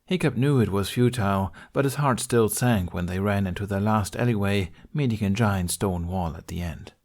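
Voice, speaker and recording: A man reading scripted fiction aloud as an expressive podfic narrator. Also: fairly low pitch, moderate pace.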